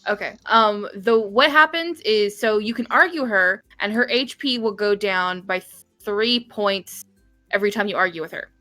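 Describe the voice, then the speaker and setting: A woman's voice: mid-range voice, moderate pace, bright, forceful tone, no echo, soft background music. A woman talking casually and ramblingly as a game developer explaining things on a stream. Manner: rambling, frequent "like", casual swearing.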